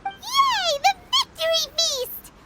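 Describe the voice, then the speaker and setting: A very high, childlike fairy sidekick for a video game. The delivery is bubbly and eager, drawn out and bouncing all over in pitch, with loud noise behind. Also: female voice, sing-song, slow, heavily acted. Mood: excited, eager. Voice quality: squeaky, childlike. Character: tiny excitable childlike fairy companion, food-loving. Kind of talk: video game character acting.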